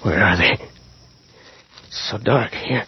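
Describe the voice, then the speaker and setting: A man acting in a radio horror drama, playing a frightened, guilt-ridden man lost in a graveyard, with a husky voice. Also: on the low side, takes it slowly, heavily acted. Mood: frightened, bewildered. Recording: muffled old radio drama recording.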